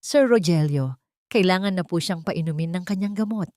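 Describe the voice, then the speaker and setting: A woman voicing a gentle, forgiving, long-suffering mother in an audio storybook drama, soft-spoken and earnest. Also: on the low side, moderate pace, no room sound, clean studio sound, close to an everyday voice. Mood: quiet, deferential.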